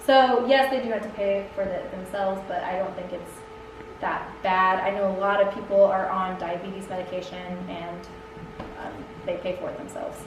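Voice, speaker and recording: A woman's voice: mid-range voice, fairly even intonation, moderate pace. Chatty woman, distant and echoey. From United States. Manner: chatty, laughs often, lots of fillers. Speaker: Peace Corps volunteer answering questions. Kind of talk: casual Q&A chat. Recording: echoey room, distant mic, background noise.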